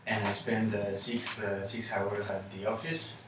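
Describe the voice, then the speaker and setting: A man answering in a focus group, pausing often with fillers. He sits away from the mic in a very echoey room, with clear noise behind him. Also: on the low side, little pitch movement, distant mic. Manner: slow, hesitant, frequent uh.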